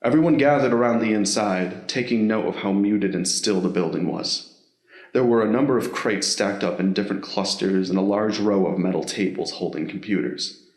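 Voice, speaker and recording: A man's voice: on the low side, normal speed, darkish tone, roomy sound. A man reading scripted story narration for an audio drama in the role of narrator.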